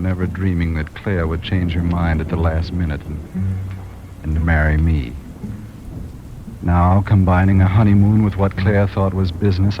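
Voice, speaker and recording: Guy playing a haunted paranoid killer type in old radio noir, lowish voice, tense and halting, muffled noisy rainy sound. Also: close to an everyday voice. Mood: confessional. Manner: brooding, hesitant, dramatically tense phrasing. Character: guilty, paranoid male schemer haunted by murder plot. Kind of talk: 1940s-50s radio noir, first-person confessional narration. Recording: muffled old radio recording, rain noise.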